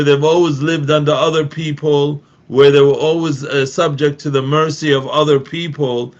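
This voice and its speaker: A man's voice: a little high, a bit bright, dull audio. A middle-aged Palestinian man in an earnest discussion, talking emphatically with frequent restarts and repeated phrases. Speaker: activist guest.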